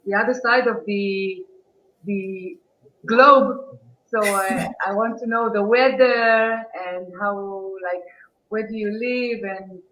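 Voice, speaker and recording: A woman's voice: medium pitch, fairly even intonation, takes it slowly, a bit bright, reverberant, highs cut off. A woman co-hosting a live online interview, asking short curious questions and laughing along. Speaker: co-host.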